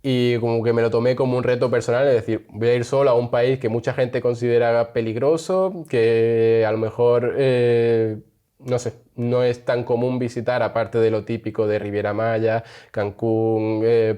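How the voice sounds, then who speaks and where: A man's voice: mid-range voice, level tone, normal speed, mellow. A young man from Spain, chatting casually as an interview guest and laughing often. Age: young adult.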